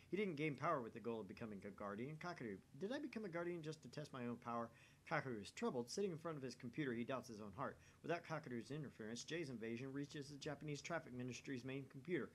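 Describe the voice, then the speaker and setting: A man's voice: mid-range voice, fairly bright tone, a little hiss or hum. A man reading a visual novel aloud as a gaming channel host.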